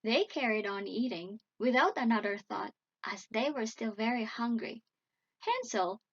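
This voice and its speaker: A woman reading a fairy tale aloud as a bedtime story, in a dramatic, measured storytelling manner. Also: a little high, breathy edge, lo-fi sound. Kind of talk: expressive read-aloud bedtime story narration.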